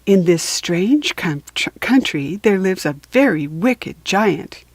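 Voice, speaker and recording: A woman voicing a beautiful young storybook fairy who gives stern, solemn commands in a measured way. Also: fairly low pitch, animated.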